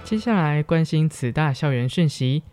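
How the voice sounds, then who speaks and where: A man's voice: on the high side, lively intonation, normal speed, music in the background. A young man with a Taiwanese Mandarin accent reading scripted news as a student anchor. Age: young adult.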